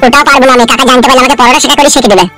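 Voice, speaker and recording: A man's voice: very high voice, steady tone, rapid-fire, over loud music. A man voicing a timid, naive, protesting married simpleton who frightens easily, in a comedy cartoon. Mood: resigned. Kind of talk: amateur cartoon comedy dubbing.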